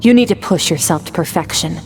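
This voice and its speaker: Stern female warrior mentor, lowish voice, composed and measured, video game dialogue, long reverb, music underneath. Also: animated, creaky, a little hiss or hum. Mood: grave warning. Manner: composed, measured, matter-of-fact. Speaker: combat trainer. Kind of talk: scripted video game voice acting.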